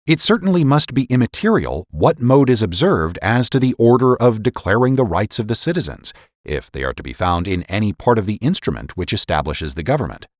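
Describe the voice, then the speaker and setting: A man's voice: on the low side, very animated, brisk, no echo, studio quality. A man narrating a historical political essay as a dramatic reading, formal and oratorical, with emphatic phrasing. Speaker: podcast host and narrator.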